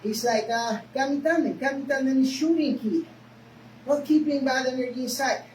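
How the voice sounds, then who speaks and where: A woman's voice: high voice, moderate pace, a little mellow. A woman with a Spanish accent, a native Spanish speaker, teaching a karate class in short broken phrases and often checking in with "okay?". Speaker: karate instructor. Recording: echoey room, mic far off, noisy.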